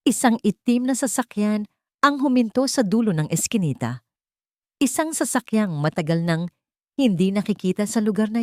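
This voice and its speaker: A woman reading a scripted love story as its narrator, in measured, deliberate, dramatic phrases. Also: mid-range voice, darkish tone, no echo, studio quality.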